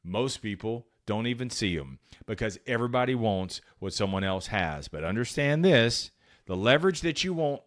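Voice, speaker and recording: A man's voice: lowish voice, expressive, normal speed. A man hosting a motivational sales podcast, talking bluntly and emphatically in short, punchy phrases, with some profanity. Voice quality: powerful voice. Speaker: podcast host and sales coach. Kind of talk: motivational solo talk.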